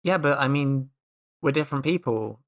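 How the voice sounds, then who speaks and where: A player talks through a tabletop role-playing game in casual chat. The voice sits at a middle pitch, and the delivery is emphatic, with words often repeated. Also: moderate pace.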